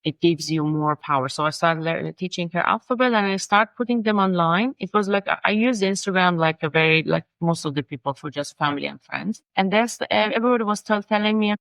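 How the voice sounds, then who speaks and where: Interview guest, middle-aged woman, Persian accent, Farsi native, lowish voice, talks haltingly over a video call. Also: a bit bright, no echo. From Iran. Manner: halting, searching for words, frequent restarts. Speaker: guest, designer and language coach. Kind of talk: casual interview conversation.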